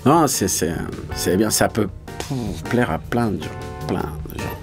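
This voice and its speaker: Casting: male, forties, low register, colloquial interviewee feeling for words, light music underneath. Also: lively intonation, a little slow. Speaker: voice actor being interviewed. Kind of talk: casual interview talk. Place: small recording studio.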